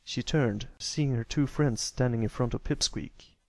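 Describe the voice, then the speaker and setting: Expressive male storyteller with a dry recording. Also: medium pitch, unhurried, creaky, dry recording. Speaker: amateur audiobook narrator.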